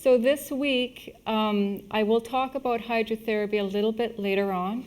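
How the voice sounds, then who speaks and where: A woman giving a public health lesson in short, plain segments, pausing for an interpreter. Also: fairly high pitch, steady tone, a little mellow. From Canada. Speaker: health lecturer, medical missionary.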